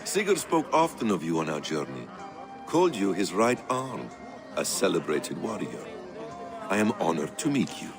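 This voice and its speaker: An actor playing a shrewd, courteous and mysterious foreign traveler, speaking in measured, reflective game dialogue. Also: male voice, low voice, big pitch swings, music in the background. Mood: courteous, intrigued. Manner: measured, polite, storytelling. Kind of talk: dramatic game cutscene dialogue.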